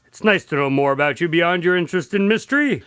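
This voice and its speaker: A man as a wry doctor, lively higher voice. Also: fast talker, clean tone, no room sound. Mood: friendly, chatty. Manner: dry teasing, conversational. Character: Doctor Jack Watson, wry, sensible male doctor and loyal friend. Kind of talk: radio drama acting.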